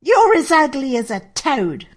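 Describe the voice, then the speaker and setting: A woman performs a vain young storybook stepsister with a high voice and a cruel streak. Her delivery is slow and swooping, haughty and full of sneering. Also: sing-song. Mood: taunting, cruel. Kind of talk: children's fairy tale character acting.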